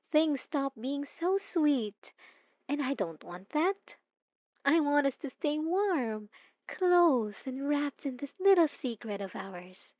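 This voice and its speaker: Casting: actress as obsessive female stalker for intimate ASMR roleplay; higher voice, soft, coaxing, possessive, sweetly menacing, dry slightly muffled sound. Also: dry recording, heavily acted. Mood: sweetly threatening. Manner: soft, coaxing, teasing, with drawn-out emphasis.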